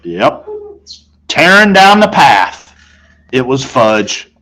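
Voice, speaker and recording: A man's voice: medium pitch, big pitch swings, drawn-out words, sharp tone, low-quality recording. Playful man reading a children's story. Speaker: storybook reader. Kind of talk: expressive read-aloud narration of a children's novel in a boy's first-person voice.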